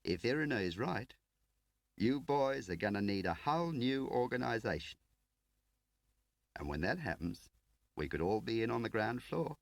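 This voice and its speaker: A man's voice: mid-range voice, sing-song, moderate pace, vocal fry, long pauses, no room sound, pitched above the performer's own voice. A man voicing a cocky, brash field agent on the run, talking in slang, in a spy audiobook. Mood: smug.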